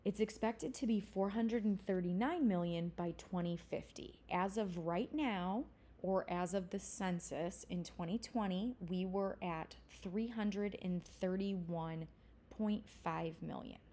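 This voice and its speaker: Woman teaching a class recording, warm mellow voice, chatty and explaining, fixes herself a lot. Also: medium pitch, measured pace. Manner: conversational, explanatory, with fillers and self-corrections. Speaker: college instructor. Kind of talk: recorded lecture.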